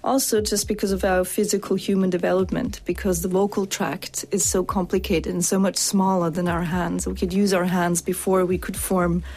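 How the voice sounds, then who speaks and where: A woman linguist answering interview questions in a steady, explanatory way. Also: fairly low pitch, normal speed, few pauses.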